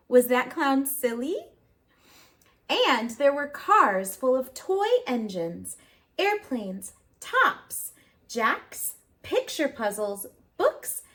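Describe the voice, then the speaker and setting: A woman preschool teacher reading a storybook aloud, expressive, with simple child-directed phrasing and questions for young listeners. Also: sits high.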